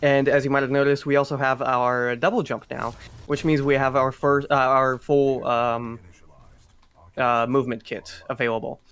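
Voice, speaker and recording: A man with a medium-pitched voice commentates on a video game speedrun. He explains things in a halting way, doubling back over his words. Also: little pitch movement, fairly bright tone, clear, resonant tone.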